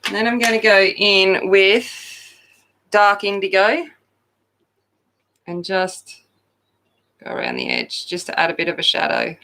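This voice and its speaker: A woman hosting a coloring channel, chatting loudly and casually with viewers while she colors, often poking fun at herself. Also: medium pitch, sing-song, unhurried, creaky, pauses often. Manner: loud, chatty, self-deprecating.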